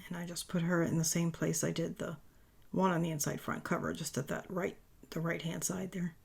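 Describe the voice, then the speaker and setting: A woman with a raspy, worn-out voice, chatting her way through a papercraft tutorial voice-over in a rambling, self-correcting way. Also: on the low side, level tone, fairly quick, a little mellow. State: voice worn from talking all day.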